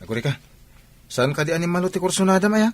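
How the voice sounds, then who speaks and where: Radio drama role: man's best buddy, played by a man, chummy and goading, pitch a little raised. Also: expressive, racing. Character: chummy male buddy egging on his friend.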